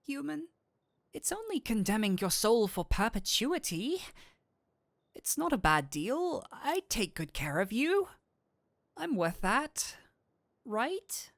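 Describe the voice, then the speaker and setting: A man's voice: very high voice, vocal fry, no echo, studio quality, full character voice. A man playing a flirtatious, nerdy incubus demon in an intimate audio roleplay, teasing and coaxing but kindly. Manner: coaxing, playful, theatrical switches between seductive and flustered.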